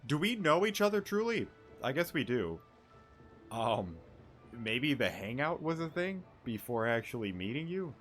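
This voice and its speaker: A man streams a game with casual commentary. His voice sits at an ordinary middle pitch, and he thinks aloud in short, broken fragments. Game music plays clearly behind him. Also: sing-song, a little slow, fairly bright tone. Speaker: gaming streamer. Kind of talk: casual let's play commentary.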